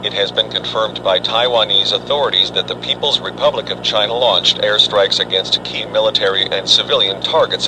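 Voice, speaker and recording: A man playing a grave government spokesman delivering a formal, measured emergency address to the nation in an alert drama. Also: on the low side, noisy background, close to an everyday voice.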